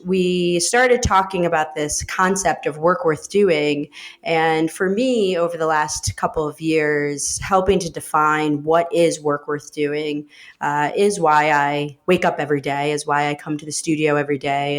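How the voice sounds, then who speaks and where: A woman, talking reflectively in an interview, with many fillers and restarts. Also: low voice. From upstate New York. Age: 30s. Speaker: guest, managing director of a design studio. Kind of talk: casual interview talk.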